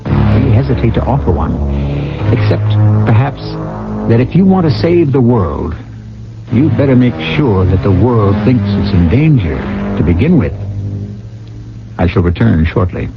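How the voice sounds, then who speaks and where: A man hosting a radio mystery drama with measured, theatrical scripted narration. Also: on the low side, sing-song. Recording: dull old radio recording. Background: music.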